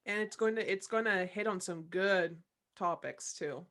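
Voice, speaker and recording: Woman w a mid-pitched voice, giggly and animated, chatting loosely with her castmates. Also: normal speed. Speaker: audio drama cast member. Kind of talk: casual group chat.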